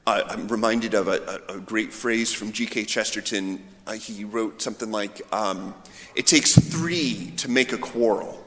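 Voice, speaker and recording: A man's voice: mid-range voice, a bit bright. Man, informal sermon, conversational storytelling manner, echoey church with long reverb. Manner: storytelling, voicing imagined dialogue.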